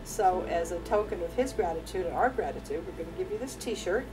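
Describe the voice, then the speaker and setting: A woman's voice: on the low side, animated, a little fast. A woman on a medical staff making a friendly presentation to a patient. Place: hospital room. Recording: distant mic under clear music.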